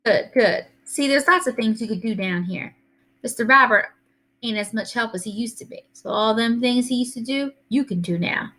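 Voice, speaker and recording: A woman's voice: fairly high pitch, normal speed, pushed and bright. A woman voicing a small, feisty, loving old grandmother with a Southern US accent and a folksy, commanding manner in a storybook reading. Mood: affectionate.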